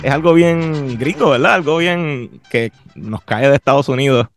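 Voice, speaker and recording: A man's voice: mid-range voice, animated, moderate pace, loud music behind the voice. A man with a Puerto Rican accent hosting a podcast in an informal, conversational interviewing style. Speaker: podcast host.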